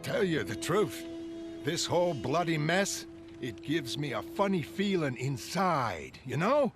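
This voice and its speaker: An actor playing an agitated, opinionated city news vendor, ranting in a streetwise way in a comic-book drama. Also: male voice, a little high, very animated, a little slow. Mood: worried. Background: music.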